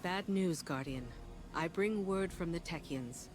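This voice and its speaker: A very low female voice over clear music, an actress as a queen's loyal lieutenant. She is brisk and earnest, briefing like a soldier in a dramatic video game. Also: close to an everyday voice. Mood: urgent, businesslike.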